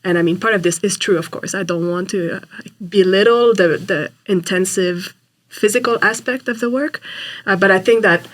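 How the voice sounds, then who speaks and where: A woman with a mid-range voice speaks reflectively as a guest on a podcast. She thinks aloud as she answers, in the relaxed tone of a conversational interview. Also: medium pitch, moderate pace. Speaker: geography professor and field researcher.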